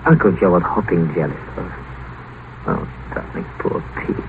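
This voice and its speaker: An actor playing a smooth, scheming doctor and seducer, sardonic and teasing, in a 1950s radio play. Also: male voice, deep voice, sing-song, moderate pace. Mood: mocking. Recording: tinny, noisy old radio broadcast.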